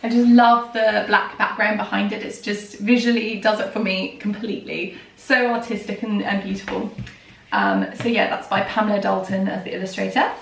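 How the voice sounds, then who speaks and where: A woman in her thirties, hoarse from a recent illness, chatting casually and enthusiastically about children's books with many ums and soft laughs. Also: medium pitch. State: hoarse, recovering from a lost voice. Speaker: book reviewer and vlogger. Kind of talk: casual book review chat. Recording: roomy and distant from the mic, faint noise.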